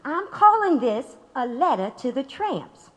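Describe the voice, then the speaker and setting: An actress playing a rousing, self-assured radical pamphleteer in an audio drama. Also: female voice, sits high, very animated, a little slow. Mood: fervent. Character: fervent, declamatory female radical pamphleteer. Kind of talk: radio drama acting.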